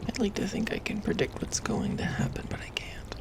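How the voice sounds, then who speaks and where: A woman playing an anxious, reluctant young leech girl in an audio roleplay, hesitant and often dropping into a hushed, breathy whisper. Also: medium pitch, fairly quick, natural voice. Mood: hushed, downcast.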